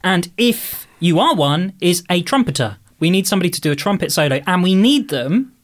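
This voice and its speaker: A woman's voice: fairly low pitch, animated, a little fast. A woman co-hosting a podcast, telling anecdotes in a casual, playful, joking way. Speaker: podcast co-host.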